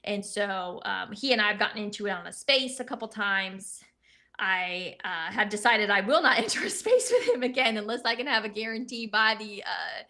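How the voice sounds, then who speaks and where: Young woman co-host, high-pitched bright voice, chatty commentary with self-corrections. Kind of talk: casual political commentary.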